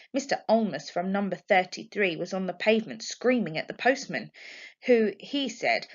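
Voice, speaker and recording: A woman reading a children's story aloud expressively, like a teacher to a class. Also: fairly low pitch. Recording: dull lo-fi home recording.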